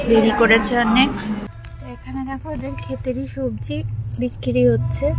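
A woman's voice: on the high side, low-quality recording. A woman in her thirties narrating a road trip vlog, chatting directly to viewers while filming on her phone. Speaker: travel vlogger. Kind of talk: casual travel narration. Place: car and roadside outdoors. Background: background music.

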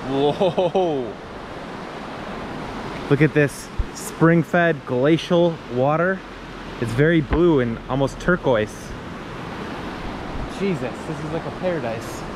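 Excitable American man vlogging outdoors. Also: fairly high pitch, slow pace, pauses often. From United States. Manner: excitable, exclaiming, casual. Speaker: travel vlogger. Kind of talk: travel vlog, talking to camera. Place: outdoors in a mountain village and in a car. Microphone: handheld action camera at arm's length. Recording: noisy outdoor ambience.

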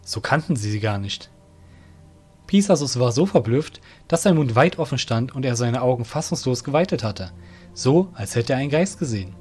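A man's voice: mid-range voice, animated, normal speed, a little mellow, faint music behind. A man narrating a scripted fantasy audio drama.

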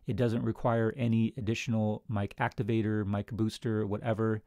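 A man in his thirties talks casually to camera as he reviews equipment. His voice sits on the low side and is captured very dry on a close studio microphone in a small home room. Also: level tone, moderate pace, dark tone, no room sound. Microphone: studio broadcast mic on a desk stand, close.

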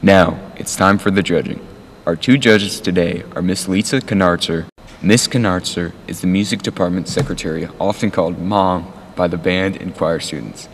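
Male narrator for a cooking competition show, low voice, scripted read, reverberant recording with faint noise. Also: normal speed.

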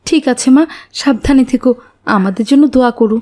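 A woman's voice: sits high, fast talker, mellow. A woman voicing an anxious, kindly, poor village mother in a cartoon. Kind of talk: cartoon voice acting.

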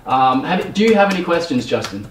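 Young male, prank phone caller posing as producer. Halting, repetitive delivery, a bit distant from the mic. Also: on the high side, big pitch swings, slight background noise. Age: young adult. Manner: hesitant, repeats phrases. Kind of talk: improvised prank phone call. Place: small bedroom, indoors. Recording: roomy, slightly distant microphone.

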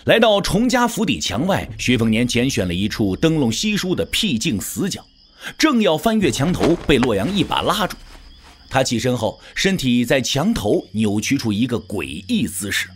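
A man's voice: medium pitch, sing-song, moderate pace, a little hiss or hum. A man narrating a scripted audio drama in a dramatic storytelling style.

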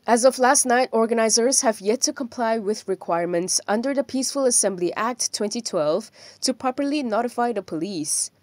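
A woman presenting the news in a scripted voice-over, with a measured, even delivery. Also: a little high, no room sound. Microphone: studio microphone.

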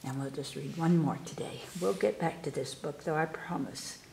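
A woman reading children's poems aloud at storytime, expressively and with careful, animated delivery for young listeners. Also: low-pitched, vocal fry, echoey room, a little hiss or hum. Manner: animated, unhurried, enunciating for children. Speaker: library storytime reader. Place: indoors in a room, book filmed on table.

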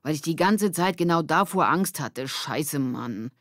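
A woman voicing an insecure, frustrated boy with stage fright, halting and emphatic, in a children's audiobook. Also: low voice, expressive, no room sound, studio quality. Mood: dejected.

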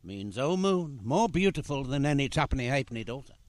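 A man's voice: medium pitch, sing-song, fairly quick, a little hiss or hum, close to an everyday voice. A man playing a fond, whimsical painter father in a radio play, mock-grand and tender. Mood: fond teasing.

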